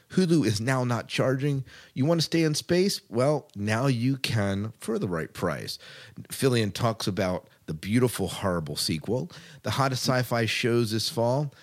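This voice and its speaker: A man co-hosting a podcast in a casual, informal way, often rephrasing himself as he chats. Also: on the low side. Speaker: podcast co-host.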